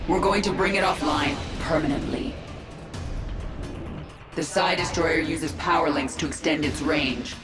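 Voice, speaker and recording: Brief: cold, vengeful alien-swarm commander, low register, terse game dialogue with echo on it. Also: female voice, steady tone, unhurried, pauses often. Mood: commanding. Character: stern, vengeful female swarm queen and commander. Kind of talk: video game character acting. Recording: echoing voice under loud music.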